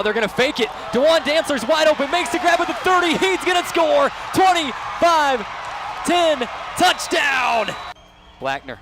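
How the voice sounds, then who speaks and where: Male football play-by-play announcer, clipped calls, very animated pitch, game broadcast with clear music and crowd behind. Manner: clipped short phrases. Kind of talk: live American football commentary. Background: crowd noise and music.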